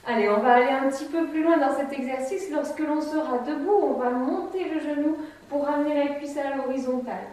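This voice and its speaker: Woman in her thirties, fitness instructor, calm step-by-step cues, high voice, big echoey room. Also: fairly quick, hardly any pauses. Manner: calm, step-by-step cueing while demonstrating. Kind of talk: guided chair exercise class. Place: bright empty room with wooden floor. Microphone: camera mic from a distance. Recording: echoey room, voice far from mic.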